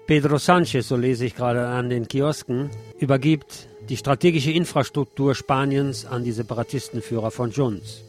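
Low-voiced man over music. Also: normal speed. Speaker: radio host. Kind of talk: scripted spoken-word radio essay. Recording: music behind the voice.

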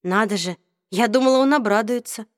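Бойкая девочка-подросток, чуть выше голосом, её озвучивает чтица, сухая запись без эха. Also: female voice, a little high, brisk, no room sound, studio quality, natural voice. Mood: light. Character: teenage girl, lively and worried. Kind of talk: audiobook reading.

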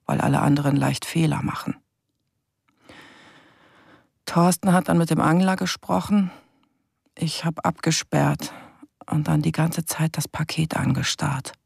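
Raspy young woman cop narrating, earnest, confiding, super dry close sound. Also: sits low, darkish tone, dry recording, exaggerated voice. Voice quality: husky. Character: inexperienced, earnest young female police officer. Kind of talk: confiding radio play monologue.

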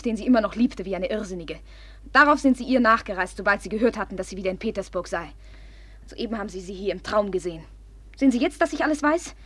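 An actress in a 1950s radio play playing a proud, impulsive young noblewoman who flares up imperiously and then drops to a hush. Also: medium pitch, no room sound, close to an everyday voice. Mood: jealous, accusing.

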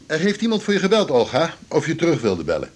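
An actor in a radio drama playing an unruffled, plain-spoken hospital colleague. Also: male voice, on the high side, wildly swooping pitch, quick pace. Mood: casual, unruffled.

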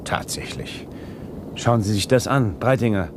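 An actor playing a brusque, world-weary salvage driver in a radio play, with a gravelly voice. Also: male voice, lowish voice, expressive, unhurried. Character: brusque, world-weary space-age salvage driver confiding in his deputy. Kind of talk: German radio play acting. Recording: humming vehicle noise.

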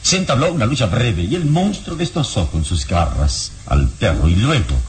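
An actor playing a calm heroic adventurer in an old radio drama, speaking with authority and deliberate emphasis. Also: male voice, on the low side, a little hiss or hum. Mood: explaining. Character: calm heroic male adventurer, commanding and wise.

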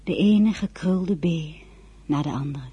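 A woman playing an earnest, lovesick French teenage girl in a radio drama, with a husky, breathy voice. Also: lowish voice, unhurried, dull audio. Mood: wistful. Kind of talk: radio drama acting with diary narration.

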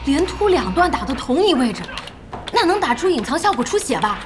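A woman playing a feisty, impatient internet cafe boss in an audio drama, blunt and expressive. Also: sits high, fairly quick, music in the background. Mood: excited. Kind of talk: Chinese audio drama acting.

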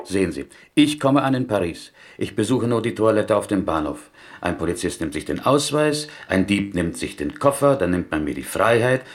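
Mournful fatalist prisoner, Yiddish-tinged Eastern European accent, very low gravelly voice, plaintive, radio play. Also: male voice, sing-song, moderate pace. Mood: plaintive, resigned. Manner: plaintive, inverted foreign syntax, rhetorical questions. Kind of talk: radio drama acting.

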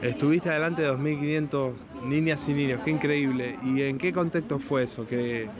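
A man's voice: fairly high pitch, moderate pace. Argentine man interviewing over crowd noise. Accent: Argentine Rioplatense. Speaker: radio interviewer. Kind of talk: casual conversational interview. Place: busy brewery bar hosting an event. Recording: crowded venue with urban background noise. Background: crowd and street noise.

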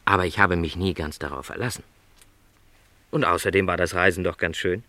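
A middle-aged travelling salesman in a literary radio play. His voice is low, recorded very dry. He is a lonely, gentle dreamer who talks reflectively, with resignation and a touch of apology. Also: fairly even intonation, brisk, no echo. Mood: dreamy, defending.

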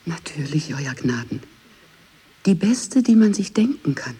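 A woman's voice: low voice, big pitch swings, unhurried, mellow, slightly rough, exaggerated voice. A woman playing a fearful, submissive lady's maid pleading with her queen in a radio play, half whispering. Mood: meek, flattering.

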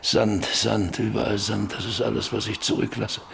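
An actor in a German radio drama playing an old, lonely rancher who broods bitterly over his life in halting, repetitive phrases. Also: fairly low pitch, flat delivery, vocal fry, a bit bright, slight background noise. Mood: bitter, remembering. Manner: brooding, halting, repeating words.

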